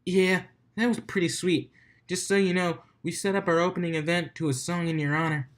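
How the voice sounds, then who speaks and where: A man's voice: high-pitched, level tone, a little fast, pitched above the performer's own voice, lightly acted. A man voicing a brash, cocky female pony DJ with boisterous, slangy showmanship, in a storybook reading. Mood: upbeat, cocky.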